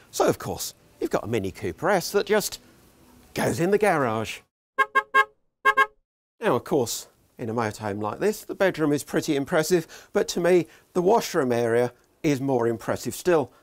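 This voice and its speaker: A man's voice: on the high side, no echo. Wry man touring a motor show. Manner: wry, dry humour, animated delivery. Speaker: motorhome reviewer. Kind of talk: presenting a walkaround video. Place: large indoor exhibition hall.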